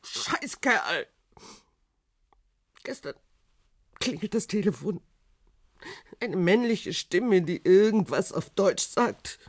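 A woman playing a forceful, sharp-tongued betrayed wife in a radio crime drama. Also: mid-range voice, expressive, takes it slowly, long pauses, husky, no room sound, studio quality, heavily acted. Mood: angry, upset.

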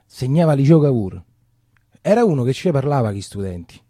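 An actor playing a Roman man who recounts his wartime boyhood in colloquial, halting theatrical storytelling with repeated phrases. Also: medium pitch, big pitch swings, dark tone, husky, no room sound, studio quality. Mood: reminiscing. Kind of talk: theatrical first-person storytelling.

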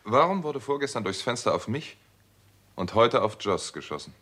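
An actor playing a sober, wry doctor who narrates a 1960s German radio detective story in a measured, matter-of-fact way. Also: male voice, medium pitch, big pitch swings, a bit bright, breathy edge. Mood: wry, defensive. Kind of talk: 1960s German radio drama acting and first-person narration.

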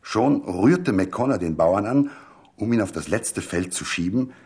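Deep, husky male literary narrator. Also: expressive, a little slow, darkish tone.

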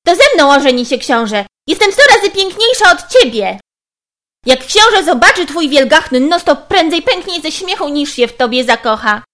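A woman's voice: sits high, very animated, moderate pace, pushed and bright, voice pushed up, heavily acted. A woman voicing a vain, bossy young stepsister in a storybook tale, whiny and demanding. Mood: mocking, catty.

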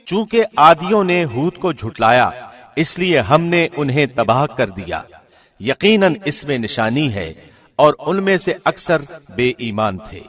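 A man narrating a scripture translation in a formal, measured, solemn announcer's delivery. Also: fairly high pitch, big pitch swings.

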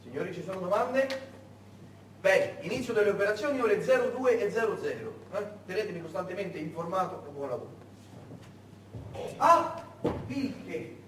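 An actor playing a brisk, smugly commanding German major who gives his officers clipped orders and prods them with check questions, as in a stage monologue. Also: high voice, a bit bright, full character voice. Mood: coolly menacing. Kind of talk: theatrical monologue acting. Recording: echoey room, distant mic, faint noise.

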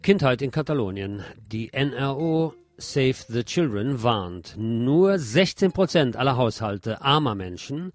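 A man's voice: mid-range voice, expressive, unhurried, hardly any pauses. A man hosting a radio news segment, reading scripted reports and dropping in sardonic, informal asides. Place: radio programme. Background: guitar music.

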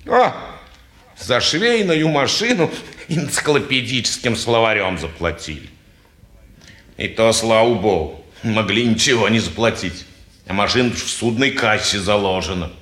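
A man's voice: fairly low pitch, animated, unhurried, long pauses, fairly bright tone. An actor playing a peevish, embittered salesman, grumbling sententiously and indignantly in an old stage manner. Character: peevish, embittered sewing machine salesman, a husband. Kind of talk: mid-century Russian stage acting. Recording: roomy 1950s theatre recording.

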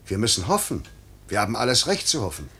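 A formal, husky airmail chief. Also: male voice, lowish voice, huge pitch swings, pushed and bright, exaggerated voice. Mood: insistent, reassuring. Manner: formal, measured, insistent. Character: stern, dutiful night airmail chief. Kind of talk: 1950s German radio drama acting. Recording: 1950s radio play recording.

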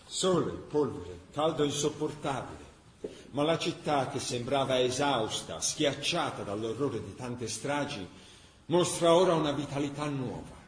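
A male actor playing a fervent chronicler of a city preparing to rise, emphatic and rhetorical with weighted pauses, in a radio drama. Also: medium pitch, lively intonation, natural voice. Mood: intense. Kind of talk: dramatic scripted narration. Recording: roomy echo with clear background ambience.

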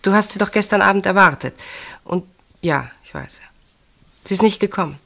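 A woman's voice: medium pitch, moderate pace, creaky, fairly bright tone. An actress playing a guarded, troubled woman in a German radio play, speaking in a hesitant, evasive dramatic manner. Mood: worried.

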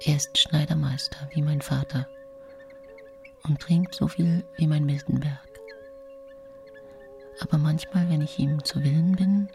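An actress playing an impoverished, bitter widow turned vengeful poisoner in a radio play, with an intense, confessional delivery. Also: female voice, sits low, steady tone, measured pace, creaky, long pauses, darkish tone, faint music behind. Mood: resigned. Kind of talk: dramatic radio play monologue.

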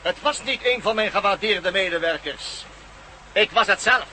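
A man's voice: high-pitched, normal speed, noisy background, natural voice. An actor playing a gloating German villain, a former Nazi scholar, mocking his captives with sneering politeness in a radio play. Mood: mocking, triumphant. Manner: sneering formal politeness, laughs at his own cleverness.

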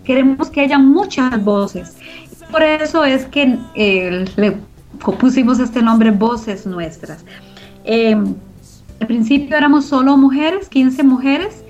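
A woman's voice: high-pitched, a little mellow, dull audio. A middle-aged woman, giving reflective, explanatory answers as an interview guest. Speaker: interview guest, organization founder.

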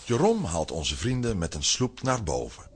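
A man's voice: low-pitched, wildly swooping pitch, moderate pace, slight background noise. A man narrating a children's audio play in a scripted storytelling style.

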